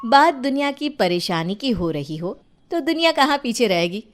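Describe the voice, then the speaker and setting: Female story narrator: highish, very animated, unhurried, playful and dramatic delivery of a scripted tale. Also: slight background noise.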